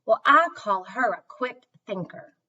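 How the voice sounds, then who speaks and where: Emphatic circus owner, voiced by a woman storyteller, slow and deliberate. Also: on the high side, animated, pushed and bright, slightly rough, heavily acted. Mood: firm, admiring. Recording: dull, distant microphone.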